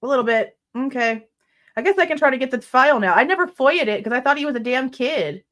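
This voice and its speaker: A woman's voice: high-pitched, expressive, fast, a bit bright. Stuffy-nosed woman reading legal text. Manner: exasperated, blunt asides with mild swearing. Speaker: true crime livestream host. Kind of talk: reading a legal brief aloud with commentary.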